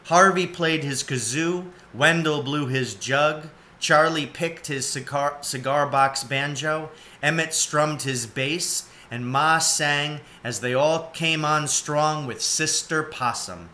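A man's voice: on the high side, takes it slowly, hardly any pauses, bright, forceful tone. A man in his forties reading a children's story aloud as narrator. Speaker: storybook reader. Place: cluttered indoor room at home, seated.

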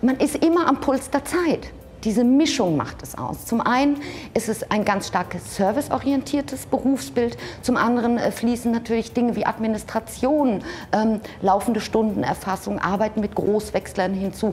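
A woman's voice: a little high, moderate pace, darkish tone. Female trainer, earnest and a little hesitant, faint music under her. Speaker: vocational trainer. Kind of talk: interview statement.

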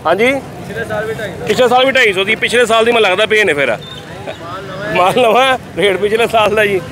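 Fast-talking Punjabi man in a crowded bazaar. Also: high-pitched. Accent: Lahori Punjabi. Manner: chatty, enthusiastic, addresses viewers often. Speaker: vlogger touring a market. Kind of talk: lively on-the-street market vlog. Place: busy outdoor bazaar. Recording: busy market crowd behind.

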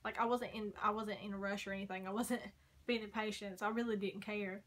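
Young woman, casual haul vlogger, chatty, hoarse voice, filmed in a bedroom corner. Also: mid-range voice, fairly even intonation, a little fast, fairly bright tone, slight background noise. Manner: chatty, rambling, frequent likes and ums. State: losing her voice. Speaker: beauty vlogger. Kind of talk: casual makeup haul chat. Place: small bedroom corner by a door.

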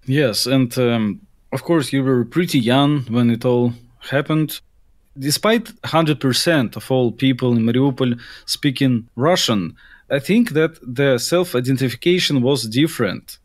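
A man hosting a podcast interview, putting his questions in a halting, conversational way. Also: mid-range voice, no echo, studio quality.

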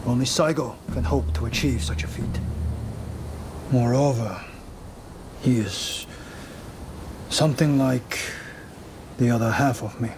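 A composed, loyal samurai retainer with a mid-pitched, measured and formal delivery. He sounds earnest and grave, like a dramatic video game character. Clear ambient noise sits behind the voice.

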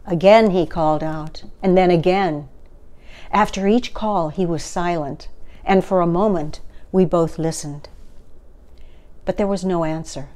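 A woman in her sixties reads a picture book aloud. Her voice is soft-edged and mellow, pitched a little low, and she takes her time. Each phrase is placed gently and deliberately, as for a children's story. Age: 60s. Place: small furnished living room, indoors.

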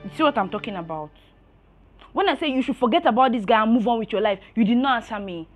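Actress as a blunt, high-voiced Nigerian friend. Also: female voice, lively intonation, fast, faint music behind, close to an everyday voice. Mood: indignant. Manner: blunt, chiding. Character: blunt, exasperated female friend of the waitress. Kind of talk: screen drama acting.

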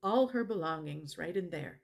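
A middle-aged actress playing an earnest woman who helps abuse victims, speaking deliberately in a testimonial monologue with emphatic pauses. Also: fairly low pitch, lively intonation, vocal fry. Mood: earnest, moved. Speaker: actor in a dramatized narrative. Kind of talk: dramatized personal testimonial monologue to camera. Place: living room at home.

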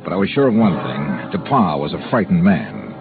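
An American actor playing a dry, persistent insurance investigator who also narrates in old radio detective style. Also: male voice, low voice, huge pitch swings, moderate pace, creaky, narrow, tinny audio, exaggerated voice. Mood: dry, atmospheric narration. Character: hard-boiled male insurance investigator, dry, persistent, unhurried. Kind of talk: 1950s radio detective acting and first-person narration. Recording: band-limited old radio recording with music.

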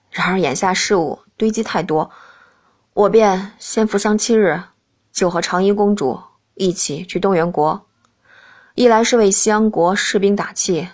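A woman's voice: fairly low pitch, pitched below the performer's own voice, lightly acted. A woman voicing a scheming royal in a man's body, speaking formally and measuredly for an audiobook.

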